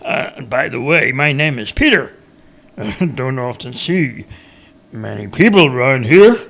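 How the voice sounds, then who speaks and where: A man's voice: medium pitch, expressive, unhurried, gravelly, fairly bright tone, heavily acted. A man voicing a sly, stubborn hillbilly woodcutter in a storybook tale, drawing out his words wryly. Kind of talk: storybook character voice.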